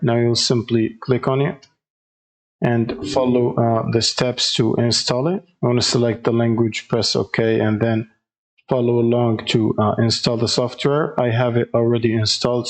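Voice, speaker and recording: Flat-toned man narrating a software tutorial. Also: mid-range voice, moderate pace. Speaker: tutorial host.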